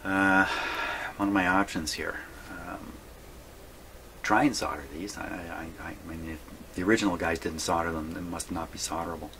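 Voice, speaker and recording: A man's voice: sits low, level tone, normal speed, bright, forceful tone. A man thinking aloud in a rambling, folksy way as he casually narrates a hands-on repair vlog from his workshop. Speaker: hobbyist host repairing vintage radios. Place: indoor radio workshop. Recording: clear background noise.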